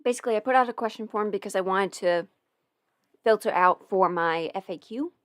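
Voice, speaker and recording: A woman hosts an informal livestream in a medium-pitched voice. She talks hesitantly, often breaking off and restarting her thought as if working it out aloud. The sound is dry and close, with very little room around her. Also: brisk, a bit bright, dry recording. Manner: hesitant, self-interrupting, thinking aloud. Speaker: livestream host, audio roleplay creator. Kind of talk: casual rambling livestream Q&A.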